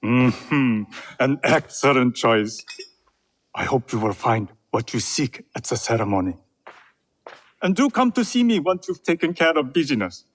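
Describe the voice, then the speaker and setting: A serene, elderly tea master from a historical video game. His voice is husky and unhurried, each phrase set down gently and deliberately. He speaks as a gracious mentor. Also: mid-range voice, sing-song. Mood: gracious, generous. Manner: measured, gentle, deliberate phrasing with soft chuckles. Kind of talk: video game cutscene acting.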